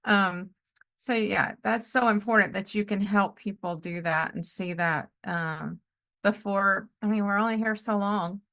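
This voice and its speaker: A woman in her sixties hosts a relaxed conversational interview. Her voice sits at an average pitch, and she speaks supportively, adding affirmations and personal reflections in everyday language. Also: unhurried. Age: 60s. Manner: encouraging, responds often with affirmations. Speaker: podcast host, life coach.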